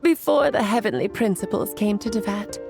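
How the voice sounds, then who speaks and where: A woman's voice: mid-range voice, normal speed, vocal fry, over music, natural voice. A woman voicing a playful, eccentric, theatrical adult witch in a video game, with a whimsical manner. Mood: explaining.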